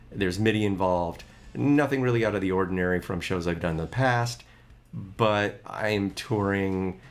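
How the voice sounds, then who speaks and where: Deep-voiced man demoing synth gear. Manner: chatty, rambling with fillers. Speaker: musician demonstrating gear. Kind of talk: casual gear demo.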